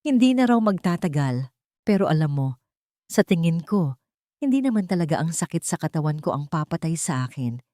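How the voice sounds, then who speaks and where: A woman's voice: sits low, big pitch swings, normal speed, dark tone, no room sound, clean studio sound. A woman voicing a dying, heartbroken wife and mother reading out her diary in a sorrowful, dramatic audiobook manner. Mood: sorrowful, resigned.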